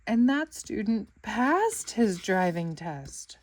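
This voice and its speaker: A woman voicing a weary, anxious female cartoon boating-school teacher in a storybook, with a hesitant, measured delivery. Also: medium pitch, sing-song, a little mellow, no echo. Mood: dismayed.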